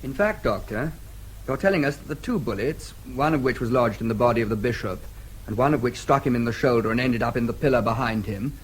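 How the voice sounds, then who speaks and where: An actor playing a composed, protective brother in a radio drama, clipped and polite but firm. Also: male voice, mid-range voice, quick pace, slight background noise, lightly acted, poor audio. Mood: questioning. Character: crisp, protective brother, a composed gentleman standing his ground.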